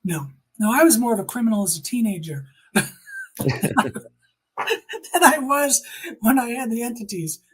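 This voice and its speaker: An older woman giving personal testimony in conversation as an interview guest, speaking emphatically with deliberate repetition. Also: a little high, lively intonation, slightly rough.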